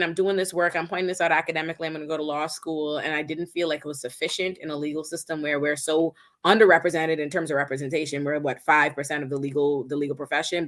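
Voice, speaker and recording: A woman's voice: sits low, a bit bright. A young woman from the Bahamas, a public defender, speaking as a guest in an emphatic, articulate way. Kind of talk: casual interview talk.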